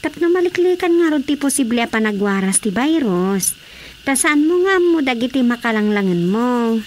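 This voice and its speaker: A woman's voice: fairly high pitch, lively intonation, moderate pace, a little mellow, slight background noise, lightly acted. A woman with an Ilocano accent acting in a radio drama as a worried, doubtful villager in everyday conversation. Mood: concerned. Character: worried, doubtful woman short of things to sell.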